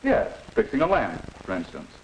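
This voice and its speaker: An actor playing a patient, middle-aged father advising his son, measured and instructive, in a 1950s educational film. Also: lowish voice, wildly swooping pitch, gravelly, exaggerated voice. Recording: noisy 1950s film soundtrack.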